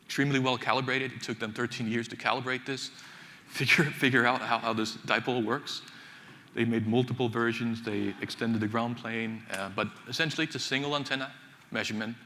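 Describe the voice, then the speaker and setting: A man from Finland speaking from the stage at a technical conference, his pitch sitting mid-range for a man. He talks in halting bursts, leaning on fillers and restarting his words. His voice carries a long hall reverb over a faint background hum. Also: steady tone, sharp tone. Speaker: conference speaker, associate professor.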